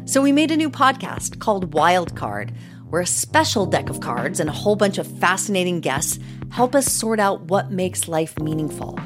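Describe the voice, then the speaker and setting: Woman host voicing an ad spot, animated delivery over clear music. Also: lowish voice, normal speed. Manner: upbeat, promotional. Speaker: podcast host. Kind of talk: scripted ad read. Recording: music bed under voice.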